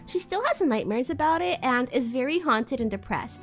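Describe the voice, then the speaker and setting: Girl streamer reading fanfic out loud, theatrical and amused, high voice, soft music behind. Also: animated, moderate pace. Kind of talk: dramatic live reading of fan fiction. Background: faint music.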